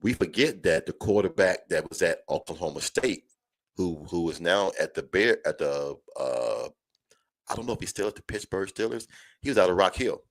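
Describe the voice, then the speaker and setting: A man's voice: sits low, lively intonation, normal speed, dry recording. A man hosting a college football show in a casual, folksy, conversational way, speaking to listeners like friends. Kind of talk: casual live sports chat.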